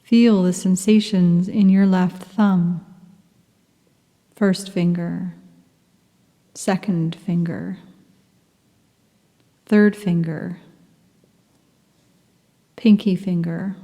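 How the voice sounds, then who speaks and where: Slow, soft-spoken meditation teacher woman. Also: fairly low pitch, steady tone, in a large hall. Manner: soft, slow, soothing, half-whispered at times. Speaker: yoga nidra teacher. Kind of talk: guided meditation.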